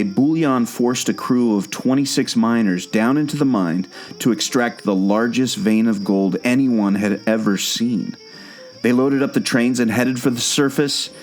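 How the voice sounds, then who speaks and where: A man's voice: fairly low pitch, a little slow, darkish tone, faint music behind, natural voice. A man playing a knowing, patient guide who tells park legends in a scripted audio drama. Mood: knowing, mysterious. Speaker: storytelling guide.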